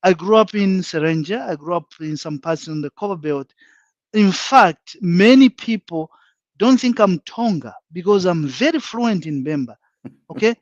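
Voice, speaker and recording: Zambian guy on a live panel, frank and emphatic, kinda high voice, dull dry call sound. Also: expressive, moderate pace, no echo. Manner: frank, emphatic, builds points step by step. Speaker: panel guest. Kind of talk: live online panel discussion.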